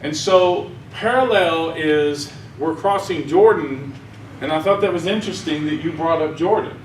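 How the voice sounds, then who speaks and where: A man's voice: fairly high pitch, a little slow, slight background noise. A man from Arkansas with a Southern accent, giving a rambling, folksy sermon talk at a conference. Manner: folksy, rambling, chuckles at own jokes. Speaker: conference speaker. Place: conference hall. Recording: echoey room, mic heard from far.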